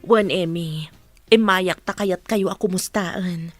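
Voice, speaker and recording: A woman's voice: lowish voice, slow pace. An actress playing a loyal, outspoken woman in a radio drama, speaking earnestly and emphatically on behalf of her friend. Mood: inquiring.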